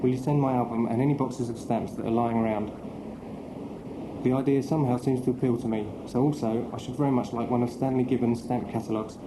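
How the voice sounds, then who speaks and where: An actor playing a cheerful young British naval sub-lieutenant with an English accent, reading a letter home in a chatty, understated way. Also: male voice, mid-range voice, fairly even intonation, fast talker, echoey room, background noise, close to an everyday voice.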